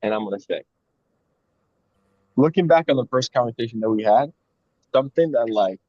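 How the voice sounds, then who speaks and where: A man's voice: mid-range voice, expressive, normal speed, darkish tone, no echo, dull audio. A young man co-hosting a podcast, talking in a casual, rambling and reflective way with frequent "like" fillers. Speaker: podcast co-host.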